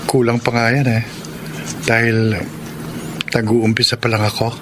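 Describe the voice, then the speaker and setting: A man acts an earnest, impatient suitor in a Filipino radio love drama. His voice sits at a middle pitch, and there is clear background noise around him. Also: flat delivery, measured pace, close to an everyday voice. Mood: concerned. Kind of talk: Filipino radio romance acting.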